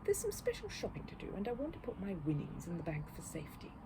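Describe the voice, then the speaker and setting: A woman voicing a polite, earnest, self-important young male bear in a storybook, quick to take offence. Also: mid-range voice, huge pitch swings, noisy background. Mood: vague, thoughtful.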